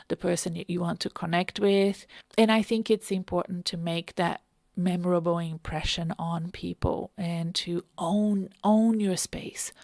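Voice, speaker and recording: A woman's voice: on the low side, creaky, no room sound, clean studio sound. A woman hosting a podcast as a career coach, talking casually and conversationally in a self-aware, anecdotal way. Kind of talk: casual solo podcast talk.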